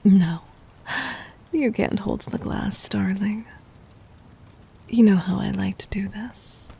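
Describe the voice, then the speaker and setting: A woman playing a gentle, dominant demon queen in an ASMR roleplay, teasing and intimate, in a breathy whisper. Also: mid-range voice, fairly even intonation, heavily acted. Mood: playful, possessive. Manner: slow, intimate, commanding.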